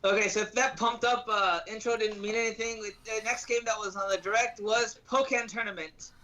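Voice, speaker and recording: A man hosting a casual news rundown, halting and stumbling a little over his phrasing. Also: high-pitched, fairly even intonation, over music, poor audio. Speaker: podcast host leading the news segment.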